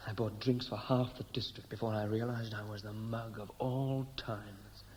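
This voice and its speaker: A man's voice: lowish voice, level tone, moderate pace, darkish tone, a little hiss or hum, natural voice. An actor playing a cynical, blunt seaman home after years away in a 1960s radio play. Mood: cynical, guarded. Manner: dry, blunt, sardonic. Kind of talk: 1960s radio drama acting.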